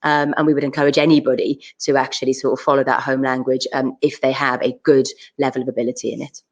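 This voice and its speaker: Brief: deep-voiced school staff member from South Wales, earnest and emphatic, fillers. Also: female voice, level tone, fast talker. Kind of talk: presenting at a school options evening.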